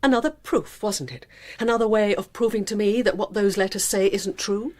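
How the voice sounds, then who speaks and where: A woman's voice: mid-range voice, normal speed, a little mellow, lightly acted. An actress playing a suspicious, reproachful middle-aged English wife and mother in a naturalistic radio drama, terse, controlled and accusing. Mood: bitter, accusing.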